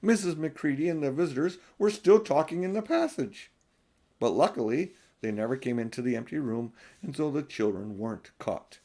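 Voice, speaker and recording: A man's voice: on the high side, normal speed, dark tone. An older man narrating a children's audiobook as its reader. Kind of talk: storybook narration.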